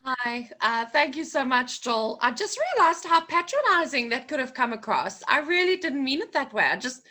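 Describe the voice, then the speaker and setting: A woman's voice: high-pitched, big pitch swings, moderate pace, hardly any pauses, sharp tone. A woman hosting a team video call, bubbly and enthusiastic. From South Africa. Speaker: host of a corporate call. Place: guest house room.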